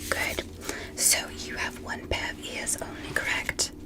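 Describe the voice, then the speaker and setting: A woman's voice: measured pace, close to an everyday voice. Whispering, curious alien examiner played by a woman. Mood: gentle, methodical. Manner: gentle, methodical, instructing step by step. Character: curious, easily amazed female alien medical examiner. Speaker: ASMR roleplay performer as examiner. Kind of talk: soft whispered ASMR medical roleplay.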